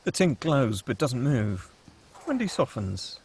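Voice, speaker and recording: A man's voice: medium pitch, sing-song, takes it slowly, creaky, a little mellow, slight background noise. A man reading screenplay stage directions as narrator at a table read.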